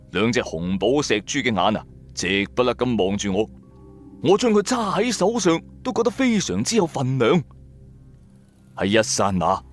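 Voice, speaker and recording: A man narrating a first-person supernatural horror story in a dramatic, colloquial storyteller's manner. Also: medium pitch.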